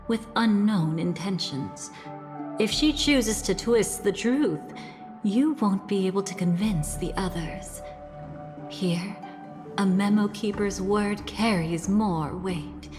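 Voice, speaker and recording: Video game role woman actor: composed, watchful woman, slow deliberate delivery, music underneath. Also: medium pitch, natural voice. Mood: cool, teasing. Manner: measured, deliberate. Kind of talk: scripted video game voice acting.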